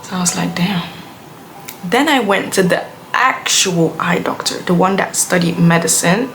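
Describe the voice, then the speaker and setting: A woman's voice: fairly low pitch, normal speed, fairly bright tone. Rambling young woman vlogging. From Belgium. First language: Dutch. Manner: chatty, rambling, heavy use of "like". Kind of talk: casual rambling vlog rant. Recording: music playing under the voice.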